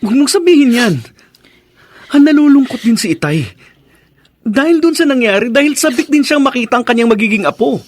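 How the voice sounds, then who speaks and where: A man's voice: very high-pitched, animated, fairly quick, pauses often. A man acting in a radio drama as a troubled young husband, earnest and pleading, torn between his wife and his father. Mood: shocked, defensive.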